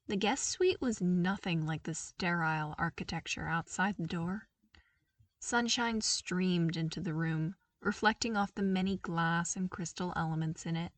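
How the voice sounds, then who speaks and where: A woman's voice: on the low side, no echo, recorded from a distance. A woman reading a scripted story as an audiobook narrator. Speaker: podfic narrator.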